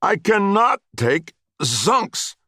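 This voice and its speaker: A man voicing a frantic young man ranting in loud, clipped bursts. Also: sits high, sing-song, drawn-out words, dry recording, clean studio sound, pitched above the performer's own voice, exaggerated voice. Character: frantic young man, bitter, head-banging outburst.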